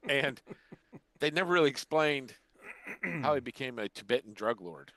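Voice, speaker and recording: Casting: older male podcast co-host, mid-pitched, casual conversational talk, dry close sound. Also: lively intonation, normal speed, sharp tone, dry recording. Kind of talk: casual chat about sci-fi shows.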